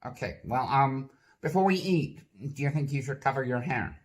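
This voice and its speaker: A performer voicing a nosy, clumsy sock puppet host with a lively, bouncing delivery. It pries with awkward, stammering questions and trails off into nervous fillers when things go wrong. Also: mid-range voice, moderate pace, close to an everyday voice. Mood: uneasy. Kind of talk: comedy puppet sketch.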